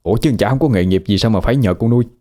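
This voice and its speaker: A man's voice: on the low side, rapid-fire, mellow, close to an everyday voice. A man with a Southern Vietnamese accent playing a talkative, fussy male ghost who grumbles and gets flustered. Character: nosy, chatty male ghost, young man.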